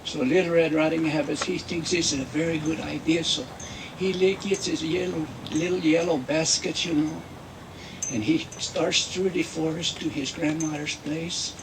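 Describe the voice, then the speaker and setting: A man playing a Mexican-American storyteller who tells a comic fairy tale in broken English, with drawn-out, repetitive folksy phrasing. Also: on the high side, fairly even intonation, recorded from a distance, noisy background, close to an everyday voice. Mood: folksy, earnest. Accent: put-on Mexican-American.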